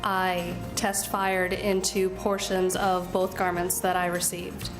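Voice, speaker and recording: A woman giving measured, technical testimony as a forensic witness in a formal style. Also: on the low side, monotone. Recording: echoey courtroom, music over it.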